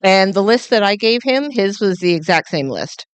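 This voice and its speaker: Woman teacher speaking up from the audience, big voice, smooth tone, dry sound. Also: mid-range voice, lively intonation, moderate pace, no echo. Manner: loud, says she has a big voice. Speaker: high school algebra teacher. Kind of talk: sharing in a group discussion. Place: conference room.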